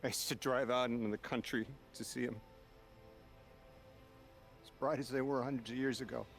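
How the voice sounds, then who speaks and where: An actor voicing a bewildered man displaced centuries from his own time, halting and searching for words, in a video game cutscene. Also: medium pitch, creaky, soft background music, close to an everyday voice. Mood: wistful. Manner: anguished and halting when grieving, folksy and rambling when relaxed.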